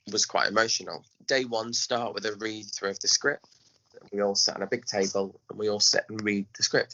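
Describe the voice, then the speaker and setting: Male interviewee, English accent, bright forceful voice on the lower side, animated storyteller, lo-fi sound. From England. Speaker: guest, pantomime director and choreographer. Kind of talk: conversational interview. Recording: dull lo-fi audio.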